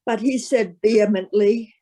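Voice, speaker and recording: A woman reading scripture as narrator, in a measured, formal way. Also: mid-range voice, mellow.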